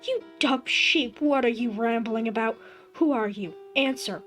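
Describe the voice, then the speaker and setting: A woman voicing a young man who shouts and swears in panic at what looks like his dead mother. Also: fairly high pitch, lively intonation, takes it slowly, faint music behind. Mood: furious.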